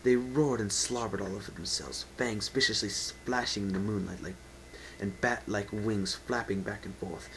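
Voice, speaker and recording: A man with a fairly low voice narrates a story as a dramatic reading. His delivery is theatrical and emphatic, unpolished and unedited. It is an amateur home recording with faint background noise. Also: fairly even intonation, normal speed, a bit bright, rough recording.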